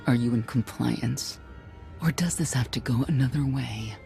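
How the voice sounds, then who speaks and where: A woman's voice: rumbling low, normal speed, gravelly, dark tone, soft background music. A woman playing a stern, righteous warrior and former knight in a video game, with a terse, blunt, commanding delivery. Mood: firm, terse. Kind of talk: video game cutscene acting.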